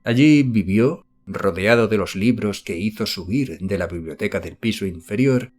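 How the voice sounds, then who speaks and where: A man narrating a horror story as an audiobook, reading in a measured, literary storytelling manner. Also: fairly low pitch, darkish tone, soft background music. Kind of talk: scripted narration of a horror novel.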